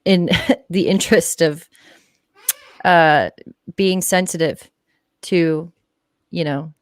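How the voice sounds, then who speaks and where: Lowish woman's voice, blunt n sarcastic, swears a lot, just chatting on a stream, no room echo. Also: fairly even intonation, takes it slowly. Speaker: guest co-commentator on a live stream. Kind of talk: casual chat commentary.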